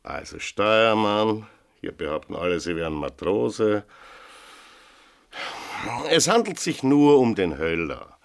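Suspicious austrian cop in a radio play, swingy pitch, bit of fry, short official questions. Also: male voice, very animated, slow pace, long pauses, heavily acted. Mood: probing. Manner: curt, probing, official. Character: suspicious, officious village police sergeant interrogating a witness. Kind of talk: German-language radio drama acting.

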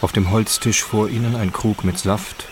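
A man's voice: fairly low pitch, steady tone, normal speed, background noise. A man giving scripted, descriptive narration that sets the scene in a radio docudrama. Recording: clear outdoor ambience with birdsong. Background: birds and rural outdoor ambience.

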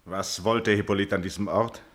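An actor playing a stern, suspicious king and wrathful father, declaiming gravely in a classical German radio tragedy. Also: on the low side, steady tone, normal speed, fairly bright tone. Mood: stern suspicion.

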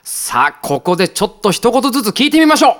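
A woman's voice: medium pitch, very animated, fast, natural voice. A woman playing a chatty, bemused emcee hosting a comedy contest in an audio drama. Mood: amused. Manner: wry, reacting, showy announcing. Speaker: host. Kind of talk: game-show style hosting in audio drama. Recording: voice over background music.

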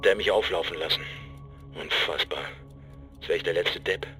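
A man's voice: sits low, moderate pace, music in the background, lightly acted. A man playing an embittered, suspicious police detective in a naturalistic radio crime drama, blunt, colloquial and sardonic. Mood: bitter, incredulous.

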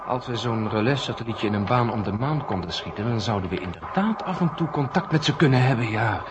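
A man's voice: mid-range voice, expressive, brisk, lightly acted. A man playing an open-minded ballistics expert in a 1970 radio drama, informal and hesitant as he thinks aloud. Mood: interested. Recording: muffled, noisy 1970 radio recording.

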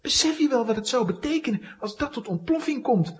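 A woman's voice: fairly high pitch, lively intonation, brisk, close to an everyday voice. An actress playing a sensible, alert young boy adventurer in a children's audio drama, earnest as he explains. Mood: urgent, exasperated.

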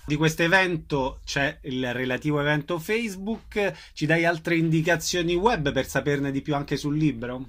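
A man's voice: on the high side, moderate pace, hardly any pauses, a bit bright. A man hosting an informal radio interview, asking questions and recapping details for listeners.

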